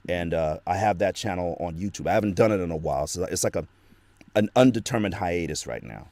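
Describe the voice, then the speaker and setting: Deep-voiced man telling a rambling story. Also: animated, brisk, darkish tone, no echo. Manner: rambling with many fillers, earnest and religious. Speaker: guest, actor and voice actor. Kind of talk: casual interview storytelling. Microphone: home studio microphone.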